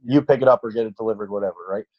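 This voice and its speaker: A man's voice: on the low side, rapid-fire. Late-20s male trainer, casual rambling podcast talk, muffled lo-fi sound. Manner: rambling, many fillers and restarts. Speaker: fitness trainer.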